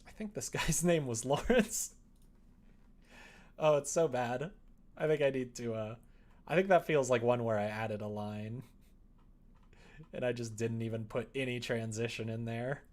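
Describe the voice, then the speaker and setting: A man's voice: mid-range voice, unhurried, long pauses, a little mellow. A young man livestreaming casual, self-deprecating commentary, muttering as he thinks aloud while editing a script. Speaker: streamer, visual novel developer.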